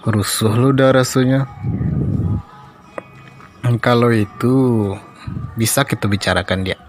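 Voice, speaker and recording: Male, Minangkabau accent, acting doting cartoon husband, poetic sweet talk, music underneath. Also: lowish voice. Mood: teasing. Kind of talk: comic cartoon dialogue.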